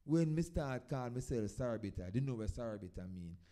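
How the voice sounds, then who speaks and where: A Jamaican man talks informally from the stage as a dub poet. His voice is very dark, soft-edged and relaxed, at a middling pitch. He moves through his Patois in short phrases, swelling on the words he wants to stress. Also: fairly quick. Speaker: dub poet performer introducing a live event. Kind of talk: informal stage talk, storytelling to an audience.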